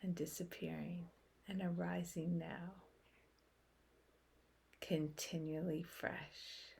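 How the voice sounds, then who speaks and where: Woman doing guided meditation, lowish voice, really slow, soothing, leaves big gaps between phrases. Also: flat delivery, creaky, darkish tone, husky. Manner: soothing, unhurried, with long pauses between short phrases.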